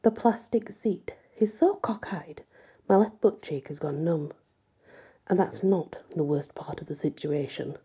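A woman's voice: lowish voice, a little slow, mellow, husky. A woman reading a first-person audiobook story in a sardonic manner. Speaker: audiobook reader.

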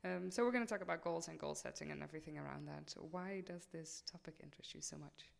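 Creaky-voiced woman hosting in a reverberant space. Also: sits low, fast talker. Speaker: podcast host and student life coach. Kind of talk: podcast interview, conversational. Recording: reverberant hall-like room sound.

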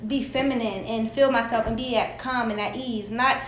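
Woman, earnest, quick bursts, somewhat high voice, roomy sound. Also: steady tone, background noise. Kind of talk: casual panel discussion.

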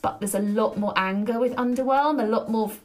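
A woman hosting her own podcast, talking alone in an energetic, chatty way with a loud voice and frequent ums. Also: fairly high pitch, a little fast, soft background music. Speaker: podcast host. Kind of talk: solo podcast monologue.

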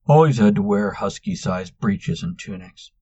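A man voicing a sulky young man who keeps insisting on his name, with a lively, insistent delivery. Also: fairly low pitch, moderate pace, highs cut off, a little hiss or hum, natural voice. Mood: sulky, weary. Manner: exasperated, insistent.

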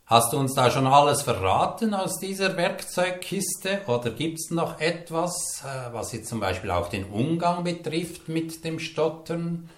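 Curious interviewer, roomy sound. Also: male voice, on the high side, expressive, a little slow, hardly any pauses. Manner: curious, probing follow-up questions. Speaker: interviewer, podcast host. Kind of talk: interview questions.

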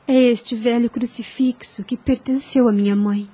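An actress playing a devout, gentle young woman about to become a nun in a radio drama, speaking softly and earnestly. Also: a little high, fairly even intonation, moderate pace, natural voice.

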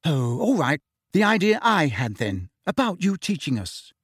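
A man's voice: on the high side, very animated, a little slow, slightly rough, dry recording, clean studio sound, voice pushed up, full character voice. A man voicing an earnest, bossy teenage girl who lectures her friends. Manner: eager, lecturing.

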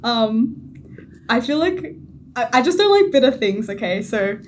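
A woman's voice: fairly high pitch, expressive, unhurried, a little hiss or hum. A young woman with an Australian accent, a podcast guest who giggles, apologizes and uses lots of fillers. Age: young adult. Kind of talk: casual interview chat.

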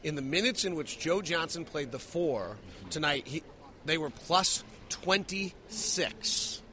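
A man's voice: fairly high pitch, lively intonation, slow pace, sharp tone. A man hosting a sports post-game podcast, breaking down the night's play as a broadcaster would. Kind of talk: post-game analysis and commentary.

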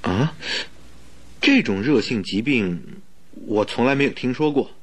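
Radio drama casting: loyal, worried doctor, lively swooping delivery, husky, insistent. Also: male voice, medium pitch, measured pace. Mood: alarmed, concerned. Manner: earnest, questioning. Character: concerned, insistent male doctor, loyal friend.

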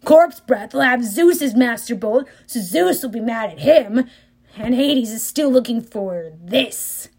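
Woman doing swaggering male war god, loud, sneering, audiobook, higher voice. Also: animated, slow, slightly rough, full character voice. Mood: cocky.

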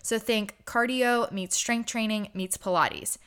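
A woman's voice: medium pitch, a little slow, fairly bright tone, smooth. A woman hosting a podcast, enthusiastic and gushing as she introduces and chats with her guests. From Charlotte, North Carolina. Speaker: podcast host. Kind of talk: casual interview chat.

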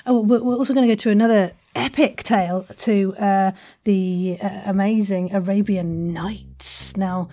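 A woman's voice: medium pitch, fairly even intonation, measured pace, hardly any pauses, mellow. A woman hosting a casual interview, effusive and wordy in her phrasing. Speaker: podcast host, interviewer.

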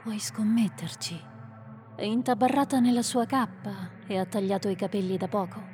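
A woman playing a sharp-witted witch adventurer in an amateur radio drama, with a wry, teasing manner. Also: medium pitch, steady tone, normal speed, faint music behind, lightly acted. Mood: shrewd.

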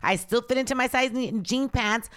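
Raspy-voiced woman podcast host. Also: a little high, moderate pace, a bit bright, no echo, studio quality. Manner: blunt, candid, slips into Spanish. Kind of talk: casual chat.

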